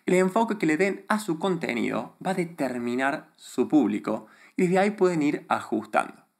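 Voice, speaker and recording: A confident Argentine man with a softened accent explains his ideas directly to camera, his voice rising and falling in a very animated way. He sounds emphatic and sure of himself, speaking in a small studio close to a desk microphone. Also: on the high side, normal speed. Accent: neutralized Argentine Spanish. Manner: confident, emphatic, gestures a lot with his hands. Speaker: YouTube creator. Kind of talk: direct-to-camera advice talk. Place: small studio room before a plain grey backdrop. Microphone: desk microphone in front of him.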